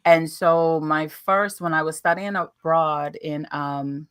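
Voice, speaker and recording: Low, bright-voiced American woman storyteller. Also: slow, smooth, dry recording, studio quality. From United States. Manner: animated, laughing, playful asides. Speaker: guest. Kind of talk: casual interview storytelling.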